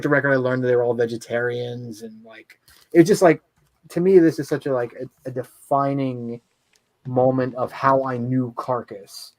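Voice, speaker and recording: A man's voice: medium pitch, no echo, poor audio. A man appearing as a guest on a podcast, speaking hesitantly in casual chat with many "like" fillers. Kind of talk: casual chat about metal albums.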